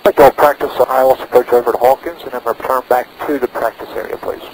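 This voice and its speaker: A man pilots a small plane and speaks over a headset in short procedural phrases. His pitch is middling. He switches between radio calls and briefing himself, with a faint engine drone on the intercom. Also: fairly even intonation, quick pace, darkish tone, a little hiss or hum, rough recording. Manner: talks himself through checks in short phrases. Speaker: private pilot. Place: inside a small propeller plane in flight. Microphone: aviation headset.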